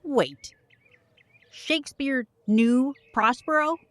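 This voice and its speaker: An actress playing a focused, supportive young woman, steady and earnest, in an audio drama. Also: high-pitched, slow pace, no room sound. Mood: curious.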